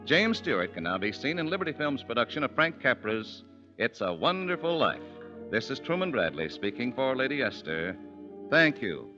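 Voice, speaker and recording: A man's voice: mid-range voice, very animated. Formal 1940s radio announcer man over music. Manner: measured, formal. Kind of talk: 1940s radio closing credits. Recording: dull old radio broadcast with music.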